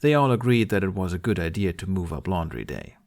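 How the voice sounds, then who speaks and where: Guy with a lowish voice reading a fan fiction story out loud, storybook style. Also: big pitch swings, a little fast. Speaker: amateur podfic reader.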